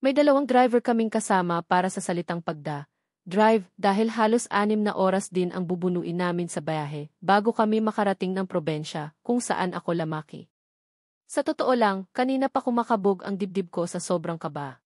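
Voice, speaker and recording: A woman's voice: medium pitch, normal speed, darkish tone, no echo, studio quality. A woman reading a first-person romance novel aloud as a scripted audiobook narration.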